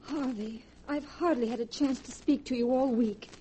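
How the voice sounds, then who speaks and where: An actress playing an anxious wife in a 1940s radio drama, hesitant and dramatic. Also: female voice, on the high side, normal speed, over music, close to an everyday voice, poor audio. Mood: anxious questioning. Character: anxious wife drawn into her lover's scheme. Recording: hissy 1940s radio recording.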